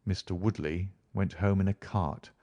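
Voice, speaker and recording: A man voicing a confident, wry gentleman detective in an audiobook, speaking in an articulate, measured way. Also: sits low, fairly even intonation, dry recording, muffled recording. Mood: amused, brisk. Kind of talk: audiobook character reading.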